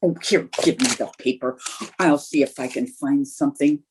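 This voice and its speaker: A woman's voice: very deep voice, normal speed, darkish tone, slight background noise. A woman playing a scheming, stubborn, stagestruck housewife in old-time radio comedy, coaxing and indignant in a theatrical way. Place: remote video-call production.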